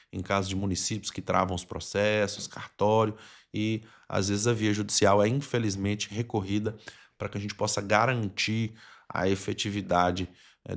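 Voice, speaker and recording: A man's voice: on the low side, creaky. A Brazilian man hosting a podcast, speaking conversationally in articulate, formal wording. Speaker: podcast host. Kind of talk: conversational interviewing.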